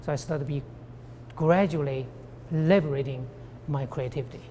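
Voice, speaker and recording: Very deep-voiced, halting Chinese-accented woman. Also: loud music behind the voice. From China. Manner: halting, searching for words. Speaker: artist. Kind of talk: personal documentary interview.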